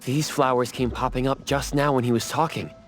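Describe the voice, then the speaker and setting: An actor voicing a focused male team member in a video game, speaking in a measured, deliberate way. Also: mid-range voice, fairly even intonation, faint music behind, close to an everyday voice.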